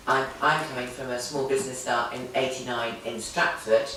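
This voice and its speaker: Measured woman panelist in an echoey room. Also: extremely low pitch, steady tone, a bit bright, slight background noise. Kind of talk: panel discussion. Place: tech event space indoors. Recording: echoey room, distant from mic.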